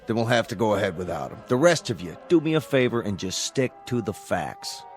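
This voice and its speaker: A man playing a brusque, exasperated airline boss in a television drama, brisk and authoritative. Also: lowish voice, sing-song, faint music behind.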